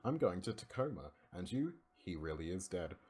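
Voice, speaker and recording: Lively low-voiced Australian man reading aloud. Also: moderate pace, husky. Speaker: amateur narrator. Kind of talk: informal read-aloud of a film script, voicing the dialogue.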